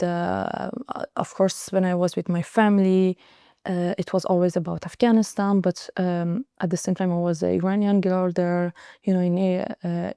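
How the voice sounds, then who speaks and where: A young woman in her twenties from Iran, a guest telling her story in an interview, speaking earnestly with many pauses and hesitations. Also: fairly low pitch, warm tone, smooth, no room sound, studio quality. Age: 20s. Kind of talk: personal interview in French and English.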